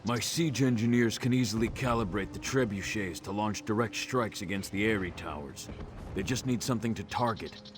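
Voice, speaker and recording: An actor playing a gruff, weary orc siege commander in a video game, briefing in a blunt, sardonic way. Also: male voice, fairly low pitch, moderate pace, natural voice. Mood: businesslike, commanding. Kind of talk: video game character dialogue. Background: music.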